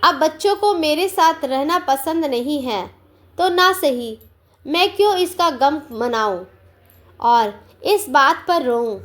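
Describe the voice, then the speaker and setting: A woman plays a self-reliant old tea seller in a moral tale. She is deferential and plain-spoken, with a high, lively voice. Her pace is slow and her temper cheerful and accepting. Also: full character voice. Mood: resigned, contented.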